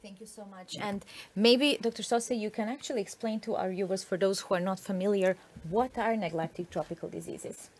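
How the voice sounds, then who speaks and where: A woman's voice: medium pitch, fairly quick. A young woman hosting a live studio Q&A, asking questions politely with some hesitation. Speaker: host.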